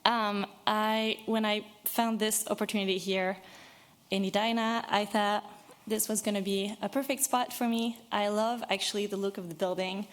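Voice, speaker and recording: A woman's voice: mid-range voice, little pitch movement, moderate pace. A woman in her thirties from France, originally from Brittany, speaking earnestly and a little nervously at a public meeting, with many fillers. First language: French. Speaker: business owner addressing a city board.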